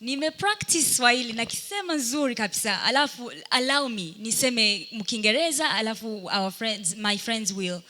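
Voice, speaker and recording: Woman speaking with halting reflection. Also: a little high, pushed and bright. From Burundi. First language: Kirundi. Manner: halting and reflective, pausing to search for words. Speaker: dancer and panelist. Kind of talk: live panel discussion answering audience questions.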